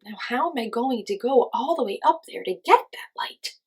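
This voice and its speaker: Woman acting male coyote, excitable, dramatic, raised pitch, slightly husky, storybook character. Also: normal speed. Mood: grumbling. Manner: exclamatory, muttering and sputtering.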